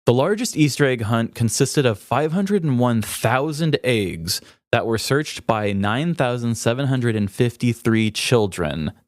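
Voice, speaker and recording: A man's voice: medium pitch, lively intonation, measured pace, few pauses, no room sound, clean studio sound. A man co-hosting a podcast, chatting casually and reading out bits of trivia. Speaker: podcast co-host.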